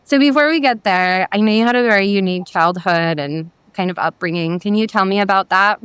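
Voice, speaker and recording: Clear-voiced woman hosting an interview. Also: mid-range voice, a little fast, a bit bright, no echo. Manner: upbeat, conversational, loosely phrased questions. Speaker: interviewer, physician host.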